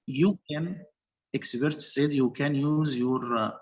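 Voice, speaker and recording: A man with as his walks through his teaching steps one at a time. He talks in a measured, explanatory way, pausing and restarting as he goes. His voice sits at a middle pitch. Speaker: English teacher. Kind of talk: online panel discussion.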